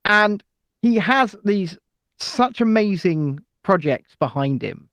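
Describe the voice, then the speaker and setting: A man's voice: sits high, sing-song, slow pace, a bit bright, dry recording. A man co-hosting a live podcast, rambling casually onto tangents with plenty of ums. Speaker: podcast co-host.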